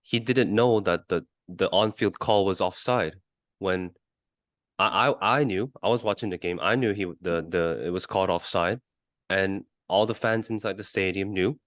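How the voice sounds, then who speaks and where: A man co-hosting a sports podcast in casual discussion, arguing his points haltingly and often repeating words. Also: low-pitched, fairly bright tone, no room sound, studio quality. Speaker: podcast co-host.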